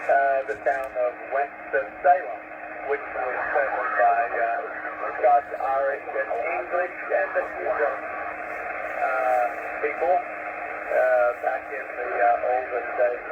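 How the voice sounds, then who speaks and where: An older American man with a Southern accent, chatting over ham radio in a rambling, halting way. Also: medium pitch, steady tone. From North Carolina, USA. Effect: radio transmission. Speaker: amateur radio operator. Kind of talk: casual ham radio chat. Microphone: radio microphone heard over the air. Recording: narrow-band shortwave radio, loud static.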